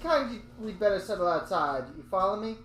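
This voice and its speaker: A woman voicing a gruff male mob thug with a tough-guy, clipped delivery. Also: medium pitch, lively intonation, a little fast, natural voice. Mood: menacing. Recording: roomy echo with faint music.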